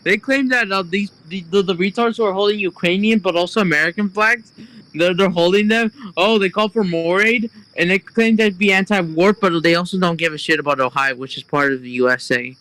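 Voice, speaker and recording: A man on a group voice call, excitably chiming in and agreeing with the host. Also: high voice, a little fast, no room sound, low-quality recording. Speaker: call guest. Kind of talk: casual group voice chat.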